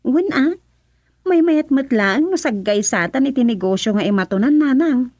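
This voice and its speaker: High-voiced dutiful Ilocano daughter. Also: female voice, lively intonation, moderate pace, darkish tone, no room sound. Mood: eager, agreeable. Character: agreeable, dutiful young adult daughter. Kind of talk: radio drama acting. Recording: slightly dull, lo-fi sound.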